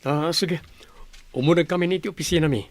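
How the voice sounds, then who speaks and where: Guy acting sensible filipino bachelor pal in a radio comedy, lightish higher voice, measured banter. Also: lightly acted. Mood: casual, teasing. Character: sensible, reluctant young bachelor friend in village comedy drama.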